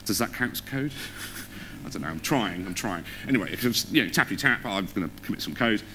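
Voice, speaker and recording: A man's voice: fairly low pitch, expressive, brisk, pushed and bright, slight background noise, low-quality recording. A man giving a technical conference talk on stage in an informal, conversational way, often putting questions to the audience. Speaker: conference speaker. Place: on stage in a theatre or auditorium.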